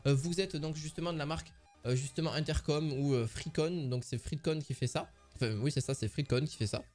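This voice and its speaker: A man's voice: on the high side, brisk, darkish tone, soft background music. A man giving a casual product review, hesitant and full of filler sounds and restarts.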